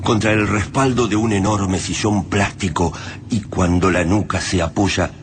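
A man narrating a radio drama from a script, in short, measured, suspenseful phrases. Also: sits low, steady tone, noisy background.

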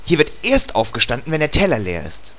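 A man's voice: fairly high pitch, wildly swooping pitch, a little fast, bright, forceful tone, full character voice. A man playing an overprotective, chatty elf mother in a comedy audio drama, fussing and coaxing. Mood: stern. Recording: clearly noisy amateur recording.

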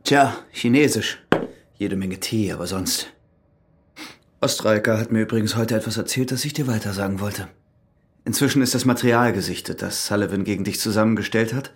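An actor playing a cool, calculating ex-convict in a radio crime drama, speaking in a controlled, insistent, matter-of-fact way. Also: male voice, fairly low pitch, brisk, natural voice. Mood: casual, probing. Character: cool, calculating ex-convict who has killed.

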